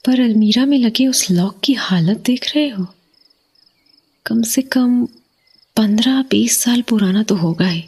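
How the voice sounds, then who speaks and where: Lady acting as worried wife in haunted house drama, breathy n husky, talks really slow and hesitant. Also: a little high. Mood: suspicious, hushed. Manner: hesitant, hushed, lingering on words. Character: uneasy, suspicious young wife fixated on the mysterious. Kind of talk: Hindi horror audio drama, acted dialogue.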